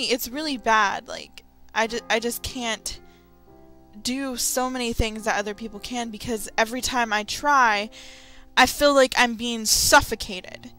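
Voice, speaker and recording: A young adult woman giving a candid, confessional vlog talk, speaking haltingly and often repeating phrases. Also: fairly high pitch, bright, forceful tone. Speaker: video creator. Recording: music behind the voice. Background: background music.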